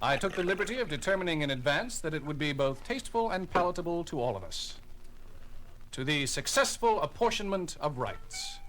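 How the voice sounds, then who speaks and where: A man's voice: a little high, sing-song, brisk, fairly bright tone, slight background noise, rough recording. A man playing a formal, flowery alien envoy in a sci-fi audio drama. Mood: formal, diplomatic. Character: courtly, formal alien government envoys and escort.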